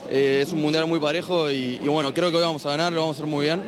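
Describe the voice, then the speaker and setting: A man's voice: on the high side, fairly even intonation, fast. A man chatting casually as a football fan interviewed outside a stadium. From Uruguay. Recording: outdoor crowd and street noise.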